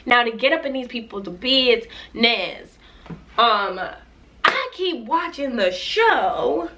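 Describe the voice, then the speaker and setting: A woman's voice: a little high, sing-song, slow pace, background noise. A young woman vlogger chatting casually in a playful, joking way about a show she watched. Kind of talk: casual reaction commentary.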